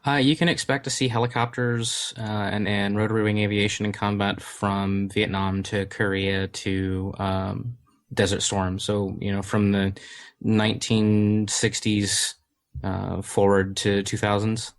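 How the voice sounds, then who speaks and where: A man with a lowish voice talks through his answers in a casual interview. He pauses often and stacks up fillers and restarts as he explains. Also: level tone. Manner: hesitant, many fillers and restarts. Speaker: game studio community manager.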